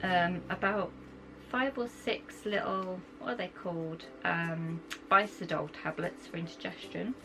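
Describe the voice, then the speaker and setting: A young British woman, croaky from a cold, chatting enthusiastically as she shows off her things to camera. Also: on the low side, steady tone, measured pace, rough recording. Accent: British English. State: croaky from a cold, sore throat. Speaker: vlogger. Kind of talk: casual chat to camera. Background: background music.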